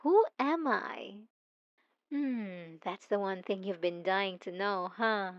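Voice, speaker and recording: Woman voicing a possessive young stalker for ASMR. Soft, intimate, slow and teasing. Dry, slightly muffled sound. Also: medium pitch, dry recording. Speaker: narrator speaking to the listener. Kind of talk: ASMR roleplay.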